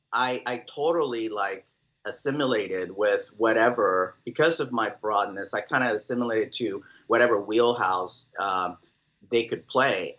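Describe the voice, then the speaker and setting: Salvadoran-born guy in his 50s rambling thru an interview, low bright voice, lots of ums, kinda dull lo-fi sound. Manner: rambling, many fillers and restarts. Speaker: drummer, interview guest. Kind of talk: casual interview answers.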